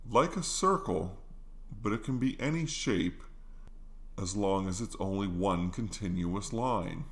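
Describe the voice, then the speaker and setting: A solemn riddle-giver in an audiobook story, voiced by a man. The low voice sets out each phrase very slowly and deliberately. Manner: slow, deliberate, measured phrases. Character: solemn written exam question, read as riddle.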